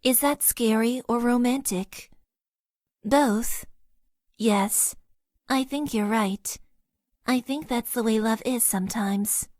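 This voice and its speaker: A formal, emotionally awkward young mecha-pilot girl for a dating game. She talks earnestly and deadpan in a slightly raised voice, stumbling into nervous stammers. The studio sound is close and dry. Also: on the high side, takes it slowly, long pauses, dry recording. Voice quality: stiff, overly formal phrasing. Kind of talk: game voice lines. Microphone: close studio mic, dry.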